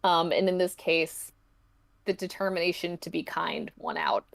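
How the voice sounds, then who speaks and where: A woman's voice: fairly low pitch, steady tone, moderate pace, gravelly. A woman speaking as a podcast guest, explaining their own creative work in a chatty, informal way. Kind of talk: casual interview chat about their own story.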